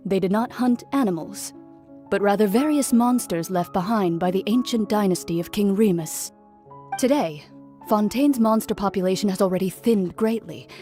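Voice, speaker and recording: An actress playing a serious, blunt young officer in a video game, speaking precisely and matter-of-factly. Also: female voice, medium pitch, dark tone, natural voice.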